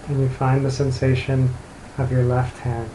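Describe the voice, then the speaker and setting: A man leading an unscripted guided meditation, speaking gently and unhurriedly with long pauses between phrases. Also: mid-range voice, monotone. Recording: roomy echo, light background noise.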